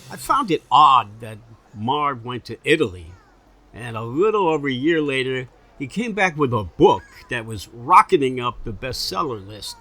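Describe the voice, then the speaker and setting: Forceful, hard-nosed reporter. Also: male voice, medium pitch, sing-song, moderate pace, slight background noise. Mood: skeptical, blunt. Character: hard-nosed, blunt male investigative newspaper reporter. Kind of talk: mock-documentary interview.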